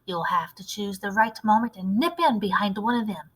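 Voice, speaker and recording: A woman voicing a loving, shrewd old grandmother in a storybook, measured, fond and admonishing. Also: medium pitch, lively intonation, slightly rough. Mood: urging.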